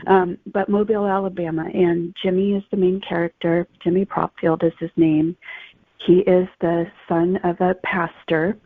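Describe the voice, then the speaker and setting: A woman's voice: fairly low pitch, flat delivery, moderate pace, dry recording. A woman author being interviewed, explaining things conversationally over a thin phone-like connection. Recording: thin phone-like, dry sound.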